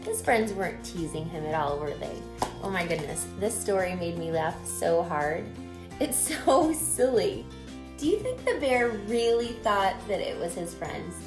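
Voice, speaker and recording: A woman teacher reading a picture book aloud, expressive and asking the listener questions. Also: on the low side, a little slow, over music. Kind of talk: children's read-aloud. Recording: roomy echo.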